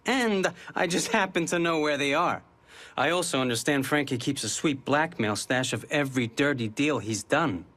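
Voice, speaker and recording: Man acting a cheeky higher-voiced newspaper reporter. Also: moderate pace, a bit bright, close to an everyday voice. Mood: wry, eager. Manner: wry, flippant, chatty. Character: cheeky, fast-talking male muckraking newspaper reporter. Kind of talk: video game cutscene acting.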